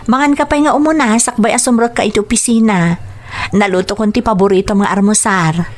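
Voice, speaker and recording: A woman playing a patient, dutiful young wife in an Ilocano radio drama, speaking gently and hesitantly. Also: mid-range voice, a little fast, faint music behind, lightly acted. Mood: caring, coaxing.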